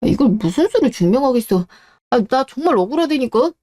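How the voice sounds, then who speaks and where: Woman playing a pleading husband, soft dark tone. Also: high-pitched, lively intonation, fairly quick. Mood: defensive, aggrieved. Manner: pleading, self-justifying. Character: pleading, defensive disgraced husband, evasive and desperate. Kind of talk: radio-drama story dialogue.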